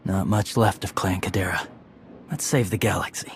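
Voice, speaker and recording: A loyal clan fighter from a video game. His voice is on the low side, laconic and understated, with faint noise behind it. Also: normal speed. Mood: quietly resolute. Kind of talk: scripted video game acting.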